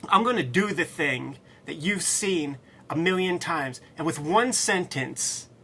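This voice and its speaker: A man's voice: fairly high pitch, expressive, measured pace, gravelly, pushed and bright, slight background noise. A man co-hosting a casual comics discussion, enthusiastic and slangy, leaning hard on his points. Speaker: co-host.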